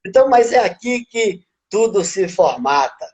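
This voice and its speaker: High-voiced man with a Bahian accent. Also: unhurried. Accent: Bahian Portuguese. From Brazil, born in Minas Gerais, raised in Bahia. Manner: relaxed, reflective, tags phrases with "né?". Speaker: interview guest. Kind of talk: casual life-story interview.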